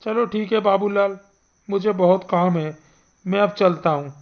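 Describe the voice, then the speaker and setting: A performer voicing an earnest, protective male village headman in an animated Hindi story, speaking emphatically. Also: medium pitch, a little slow, dull audio, close to an everyday voice. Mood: proud, kindly. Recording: music behind the voice.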